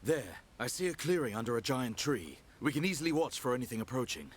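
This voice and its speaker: A man playing a seasoned, practical soldier and steady leader in a video game. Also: medium pitch, fast talker, husky. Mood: matter-of-fact.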